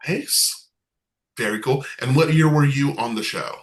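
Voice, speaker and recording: A man's voice: mid-range voice, measured pace. A man hosting an online game night over a video call, chatty, upbeat and self-deprecating. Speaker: game show host.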